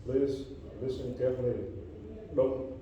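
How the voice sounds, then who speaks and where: An older man from Vietnam with a Vietnamese accent, telling his story as a refugee in halting English full of repeats and restarts. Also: mid-range voice, completely flat delivery, dark tone, slight background noise. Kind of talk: personal testimony to an audience. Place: room with listeners. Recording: dull, roomy sound from a distance.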